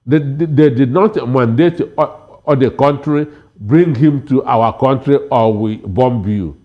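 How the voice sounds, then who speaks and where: Dull-sounding, halting man commentating. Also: mid-range voice. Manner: halting, repeats words emphatically. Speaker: panel guest, commentator. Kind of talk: political panel commentary. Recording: dull, lo-fi broadcast audio.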